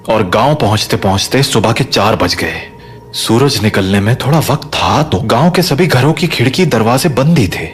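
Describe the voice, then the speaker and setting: Low-voiced, protective, stern father played by a man. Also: close to an everyday voice. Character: protective, stern father hushing and explaining to his son. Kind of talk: Hindi horror audio drama acting. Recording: music under the voice.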